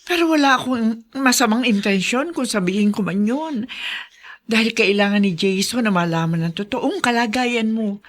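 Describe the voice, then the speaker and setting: An actress playing a well-meaning, concerned friend, speaking earnestly and emotionally in a Filipino radio drama. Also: female voice, a little high, moderate pace, natural voice. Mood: guilty, earnest.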